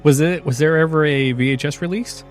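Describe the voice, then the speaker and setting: A man's voice: on the high side, brisk, soft background music. A man hosting a podcast in a casual, chatty style. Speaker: podcast host.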